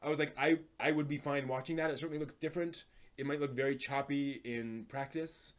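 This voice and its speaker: A man's voice: medium pitch, steady tone, brisk. A man hosting a casual live stream about anime, thinking aloud and rambling off on tangents as he chats with viewers.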